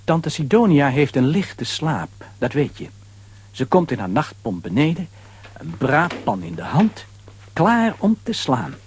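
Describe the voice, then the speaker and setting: Animated male storyteller with wide-ranging pitch. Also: measured pace, husky. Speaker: narrator. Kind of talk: animated storytelling narration for children.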